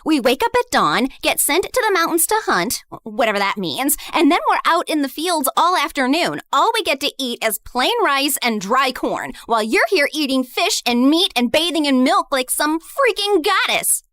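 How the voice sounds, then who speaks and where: A woman's voice: sits high, sing-song, normal speed, few pauses, fairly bright tone, no room sound, studio quality. A woman voicing a bitter, spiteful young woman who sneers and accuses with cruel contempt in a dramatized story. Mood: contemptuous. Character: bitter spiteful young woman, resentful former best friend.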